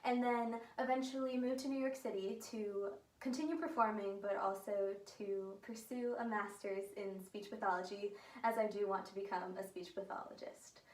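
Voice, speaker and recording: A woman of twenty-one giving an earnest, measured self-introduction as a theater student. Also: medium pitch, steady tone. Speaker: theater student, project maker. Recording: roomy, mic at a distance.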